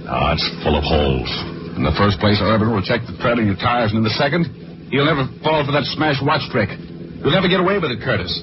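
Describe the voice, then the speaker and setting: A man's voice: medium pitch, lively intonation, fairly quick, background noise, close to an everyday voice. An American man playing a tough, blunt, wisecracking private detective in a 1940s radio drama. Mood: blunt, warning. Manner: blunt, wry, colloquial. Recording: muffled 1940s radio recording with music.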